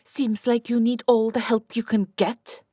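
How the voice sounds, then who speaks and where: A woman's voice: fairly high pitch, level tone, measured pace, dark tone, no room sound, studio quality. A woman playing Santa's centuries-old wife, a practical, exasperated but caring inventor, matter-of-fact and bossy in an audiobook. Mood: practical, dry.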